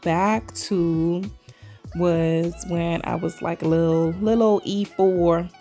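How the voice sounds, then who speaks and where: A woman's voice: lowish voice, steady tone, slow, vocal fry, mellow, faint music behind. A woman from Trinidad doing a casual, chatty voice-over for her nail video, calling viewers "y'all" all the time, her voice still a little scratchy from a sore throat. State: recovering voice, slight throat tickle. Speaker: nail vlogger.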